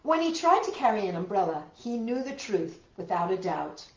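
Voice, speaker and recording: A woman hosting a children's storytime, reading picture books aloud with expressive, exaggerated delivery. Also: medium pitch, moderate pace. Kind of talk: animated picture-book read-aloud and sing-along for young children. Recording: roomy echo with guitar music.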